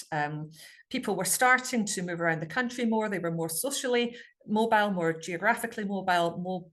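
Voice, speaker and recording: A woman presents her research in an online talk over a video call. Her tone is bright and forward, and her pitch sits a little low. She hesitates constantly, putting an "um" in front of nearly every phrase. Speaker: university dialect researcher giving a talk. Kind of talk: online presentation to a local society.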